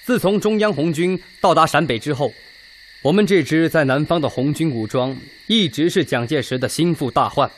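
An actor playing an earnest Red Army political commissar in a radio drama, setting out a situation in a measured, methodical way. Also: male voice, a little high, slight background noise, lightly acted. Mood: grave, earnest.

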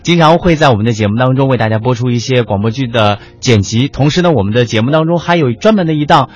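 A man co-hosting a radio programme in conversational mainland standard Mandarin, measured, with small pauses. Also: mid-range voice, lively intonation, slightly rough. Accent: mainland Chinese standard Mandarin. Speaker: radio host. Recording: slightly dull audio with music underneath. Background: background music.

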